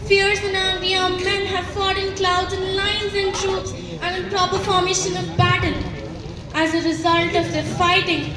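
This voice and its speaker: A young woman acting a ruler's fearful, imploring Roman wife, declaiming urgently in a school play. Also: very high voice. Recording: reverberant noisy hall from far off.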